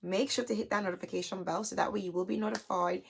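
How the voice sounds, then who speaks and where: A young adult woman with a hoarse, scratchy, chesty voice, chatting casually to camera as a vlogger and filling her talk with "you guys". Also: mid-range voice, steady tone, quick pace. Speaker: beauty vlogger. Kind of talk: casual haul chat to camera.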